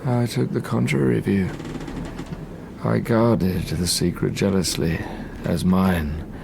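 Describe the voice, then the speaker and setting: An obsessed young gothic scientist in an audio drama. His voice is low and gravelly, his delivery formal, measured and intense, with a haunted gravity. Clear noise sits under the voice. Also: steady tone, exaggerated voice. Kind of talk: audio drama acting, first-person narration and dialogue.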